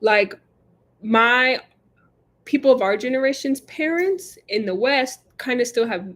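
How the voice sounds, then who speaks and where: A young woman co-hosting a casual livestream, chatting with many fillers and reading viewer comments aloud. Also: mid-range voice. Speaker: livestream co-host. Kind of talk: casual live chat.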